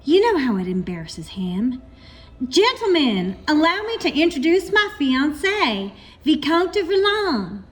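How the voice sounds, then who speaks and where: A genteel young daughter, played by a woman with a high, lilting voice that rises and falls a lot. Her manner is refined and proper in this radio play. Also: very animated, measured pace. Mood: polite, refined.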